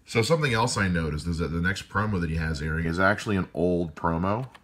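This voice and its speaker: A man with a loud voice calmly walking through how he edits a podcast episode, step by step. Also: low voice, quick pace. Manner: calm step-by-step explaining. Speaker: podcast editor. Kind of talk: explaining a screen-recorded editing walkthrough.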